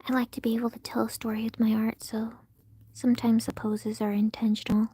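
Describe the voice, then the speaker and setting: A woman chatting candidly with her viewers while she draws on a live stream, often half-whispering in a soft, very feminine voice, picked up by her phone. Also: a little high, level tone, a little fast, husky. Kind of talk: casual livestream chat answering viewers.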